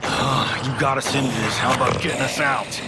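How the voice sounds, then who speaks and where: An actor playing a cocky, wisecracking Hollywood action star in a video game, with an American accent and a glib, boastful way of talking. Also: male voice, medium pitch, fairly even intonation, moderate pace, heavy background noise, close to an everyday voice. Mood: glib, wry. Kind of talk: cinematic video game acting. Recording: music behind the voice. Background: game soundtrack music.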